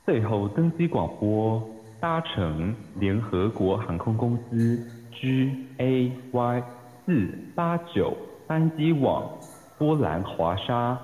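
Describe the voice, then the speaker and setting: A man's voice: medium pitch, lively intonation, slow pace, dark tone, a little hiss or hum. A man playing a formal, courteous airline announcer in a radio drama, with dull, echoey sound.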